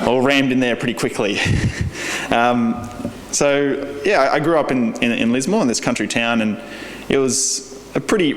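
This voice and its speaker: A young Australian man in his early twenties gives a conference keynote in an earnest, halting way, with many fillers and restarts. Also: lowish voice, slight background noise. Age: young adult. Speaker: keynote speaker. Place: large convention hall. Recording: echoey convention hall.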